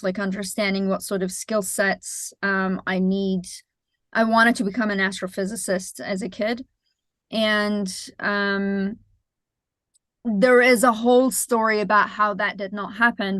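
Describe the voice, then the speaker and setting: A woman from Iran in her thirties, a startup founder answering interview questions thoughtfully, with many pauses and self-corrections. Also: medium pitch, a bit bright, no room sound.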